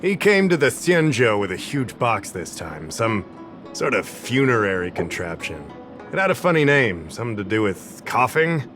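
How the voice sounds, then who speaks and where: An actor playing an affable, easygoing investigative official in a video game, conversational and explanatory. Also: male voice, medium pitch, very animated, normal speed, over music, lightly acted. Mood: casual, forgetful. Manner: conversational, slightly absent-minded, explanatory. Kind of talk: video game character acting.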